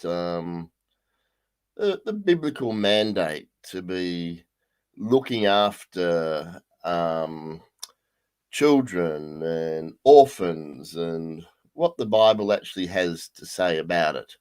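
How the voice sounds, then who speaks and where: A male pastor with a croaky voice leading a livestreamed Bible study, halting with many ums and restarts. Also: low voice, lively intonation, darkish tone.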